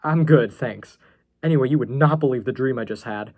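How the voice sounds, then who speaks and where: A man's voice: mid-range voice, brisk, phone-quality sound, lightly acted. An anxious storybook schoolboy, acted by a man, frightened yet defiant. He speaks bluntly, with exasperation. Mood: uneasy.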